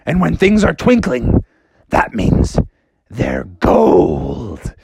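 A man's voice: a little high, wildly swooping pitch, creaky, a little mellow, breathy edge, no echo, studio quality, heavily acted. A man doing a greedy gold-hungry bear. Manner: greedy, drawn-out bellowing.

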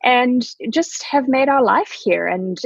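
A woman in her forties with a husky, slightly breathy voice, speaking graciously and reflectively as a podcast guest. The sound is dry and close, with no room echo. It has the dull, muffled quality of remote call audio. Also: high-pitched, animated, takes it slowly. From South Africa. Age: 40s. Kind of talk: conversational interview.

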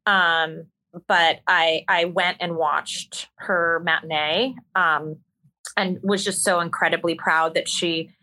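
Woman, lowish pitch, bright forceful tone, candid conversational storytelling, expressive, interview guest. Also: slow pace, poor audio. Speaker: interview guest, musical theater performer.

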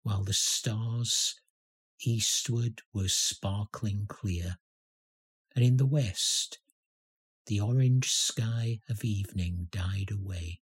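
A man reciting a poem as a reader, with measured, deliberate phrasing. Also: fairly low pitch, husky. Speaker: poetry reader. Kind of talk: poetry recitation.

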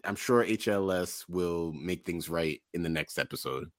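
A man hosting a casual podcast, addressing listeners in measured, promotional show-host phrases. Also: low-pitched, no room sound, studio quality.

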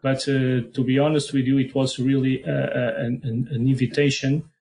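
A middle-aged man from Portugal with a strong Portuguese accent, answering interview questions in English with many pauses and repeated words. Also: medium pitch, little pitch movement, a little mellow, a little hiss or hum. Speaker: head of football performance analysis.

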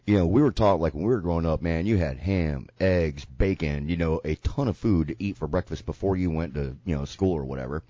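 A man's voice: deep voice, brisk, hardly any pauses, dark tone, no room sound, highs cut off. A man from the American South hosting a casual radio talk show, joking and rambling, a bit congested. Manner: joking, rambling, laid-back. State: congested from sinuses. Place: radio station studio.

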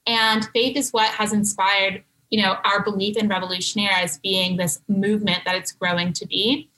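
Bright, articulate young woman guest. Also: medium pitch, flat delivery, normal speed, low-quality recording. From Canada. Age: young adult. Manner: articulate and composed, speaks in full sentences. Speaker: guest, brand co-founder. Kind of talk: interview answers.

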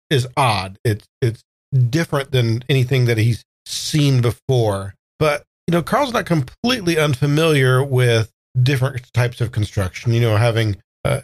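A man playing a tabletop game, casually describing his character's actions in a deliberate, wordy, hesitant way. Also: medium pitch, animated, no echo.

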